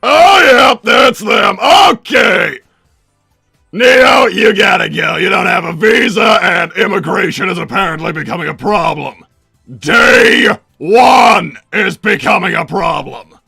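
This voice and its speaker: A man's voice: sits high, lively intonation, takes it slowly, gravelly, pushed and bright, husky, no echo, raised voice, clean studio sound, faint music behind, heavily acted. A man playing a boastful, gloating mad-doctor king in a theatrical cartoon roleplay, proclaiming things with bombast. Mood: exasperated. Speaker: self-crowned king and father.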